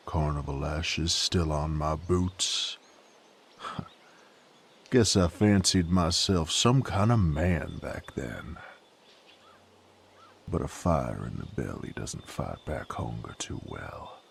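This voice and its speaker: A man playing a gruff, weary sadist knight in a roleplay audio drama, speaking in rough, folksy, halting phrases with a gravelly voice. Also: lively intonation, slight background noise, exaggerated voice. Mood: wistful, subdued. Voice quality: gravelly, very deep. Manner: rough rustic folksy speech, brooding, halting. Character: sadist knight, gruff former carnival drifter, weary and brooding.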